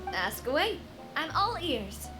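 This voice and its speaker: A woman voicing a fiercely protective, playful young woman leader in a video game, theatrical and expressive. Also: high voice, slow pace, exaggerated voice, rough recording. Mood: eager. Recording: game music behind.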